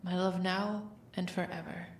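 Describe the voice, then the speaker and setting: A woman playing a calm, formal royal wedding officiant in an audio drama, speaking in measured, solemn phrases. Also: fairly low pitch, natural voice. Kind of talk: audio roleplay drama acting. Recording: echoey, distant mic, faint noise.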